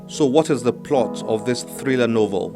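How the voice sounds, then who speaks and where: A man's voice: mid-range voice, moderate pace. A man presenting a book programme in scripted narration, as its host. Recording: music behind the voice. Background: instrumental music.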